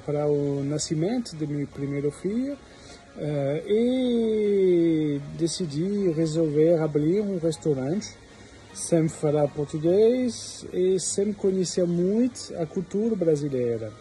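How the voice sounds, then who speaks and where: A French-accented man in his forties talks into a phone at arm's length outdoors, telling his story as a private chef. His voice is mellow and a touch high, and he speaks very slowly, hunting for words with frequent "eh"s. Faint music plays underneath. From France. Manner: slow and halting, with frequent "eh" pauses. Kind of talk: personal story to camera. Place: outdoors by a stone wall with plants. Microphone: phone held at arm's length, selfie framing.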